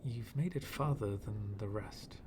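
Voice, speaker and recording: A man playing a bitter, menacing villain in an ASMR roleplay, speaking in a brooding, dramatic, half-whispered way with long pauses. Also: on the low side, music in the background, lightly acted. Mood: taunting, bitter. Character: fallen-world villain, male, bitter, menacing, coldly philosophical.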